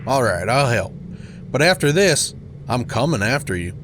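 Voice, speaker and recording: A man's voice: mid-range voice, very animated, moderate pace, fairly bright tone, a little hiss or hum. A man playing a stiff, formal, grudging lawman in a comic fiction podcast.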